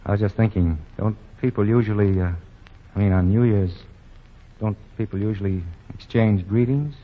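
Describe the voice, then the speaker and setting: A man's voice: on the low side, normal speed, close to an everyday voice. An actor playing a flustered, impatient wealthy young man who bluffs and then turns boyishly shy, in a 1940s radio play. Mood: tender. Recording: muffled 1940 radio broadcast.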